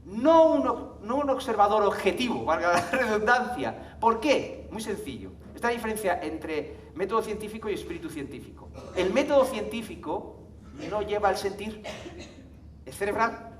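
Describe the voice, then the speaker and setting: High-voiced man lecturing a hall. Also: animated, moderate pace, slight background noise. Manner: conversational, frequent tag questions and laughs. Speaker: conference speaker. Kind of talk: live talk to an audience. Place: conference hall with audience. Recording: echoey hall, mic from far off.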